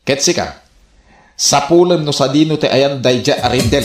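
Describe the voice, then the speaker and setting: A man's voice: medium pitch, normal speed, lightly acted. A man playing an exasperated, scolding husband with an Ilocano accent in a radio comedy drama. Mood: stern. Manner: scolding, questioning. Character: exasperated scolding husband worried about lost savings. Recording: roomy, echoing sound.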